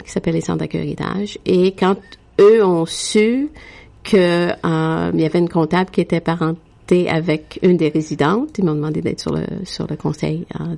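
Unhurried Québécoise woman with gravelly tone. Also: fairly low pitch, a little mellow. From Quebec. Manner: frequent hesitations with "euh", unhurried. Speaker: guest. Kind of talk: interview, telling her life story.

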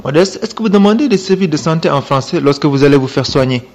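Brisk young-sounding male street reporter. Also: fairly high pitch, animated, darkish tone. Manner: brisk, repetitive questioning. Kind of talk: street interview.

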